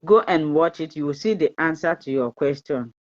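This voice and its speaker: A woman's voice: low-pitched, expressive, normal speed. A Nigerian woman whose first language is Igbo, hosting a live-stream fertility talk in a chatty, repetitive way and slipping into pidgin. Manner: chatty, repeats herself, mixes in pidgin, laughs often. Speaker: fertility channel host. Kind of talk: live-stream advice talk, reading viewer comments. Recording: dull lo-fi live-stream audio.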